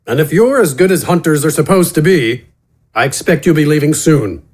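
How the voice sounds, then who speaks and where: Fantasy audio drama captain, played by a male narrator: curt, gruff, authoritative, lively pitch swings. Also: fairly quick. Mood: stern, commanding. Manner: gruff, commanding. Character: stern male city guard captain, curt and incredulous.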